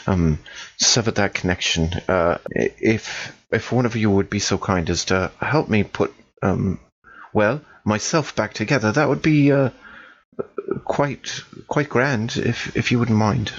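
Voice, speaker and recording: A man's voice: lowish voice, highs cut off. A man voicing a damaged android teenage boy who speaks politely and formally, with some hesitation. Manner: courteous, hesitant.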